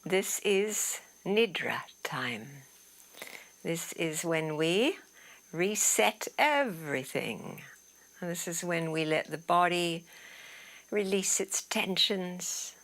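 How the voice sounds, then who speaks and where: Female meditation guide, lowish and very slow, soothing delivery with soft trailing phrase ends. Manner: soothing, unhurried, trailing into breathy softness. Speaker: yoga nidra teacher. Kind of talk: guided relaxation meditation instruction.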